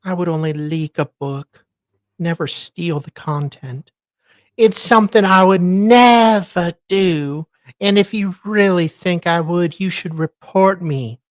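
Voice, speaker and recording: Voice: mid-range voice, takes it slowly, dry recording, studio quality, voice pushed up, close to an everyday voice. A performer voicing an aggrieved, self-righteous woman in a melodramatic, pompous dramatic reading. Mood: wounded indignation.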